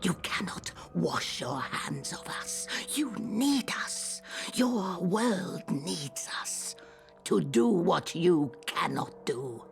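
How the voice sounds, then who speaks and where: A woman voice acting a defiant, menacing middle-aged peasant mother with a gravelly voice in a video game. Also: on the low side, expressive, slow, soft background music, heavily acted. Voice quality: gravelly, creaky. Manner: defiant, scolding, hissing emphasis. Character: menacing, sanctimony-hating murderous peasant mother.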